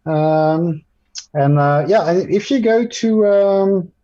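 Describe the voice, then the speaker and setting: Male presenter, French native speaker, mellow tone, stop-start delivery, muffled call audio. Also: high-pitched, slow. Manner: hesitant, many ums and restarts. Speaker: guest presenter. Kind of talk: live screen-share tutorial.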